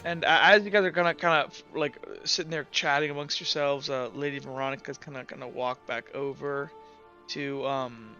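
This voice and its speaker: Bright-voiced man running a tabletop game. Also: mid-range voice, steady tone, normal speed. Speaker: game master. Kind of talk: casual improvised tabletop game narration. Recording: slightly dull audio over music.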